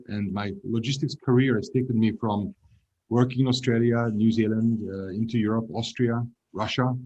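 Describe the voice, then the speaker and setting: Measured Hungarian-born man in his fifties. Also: on the low side, warm tone, muffled recording. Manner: measured, trails off at phrase ends, restarts words. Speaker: guest, logistics executive. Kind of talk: interview answers.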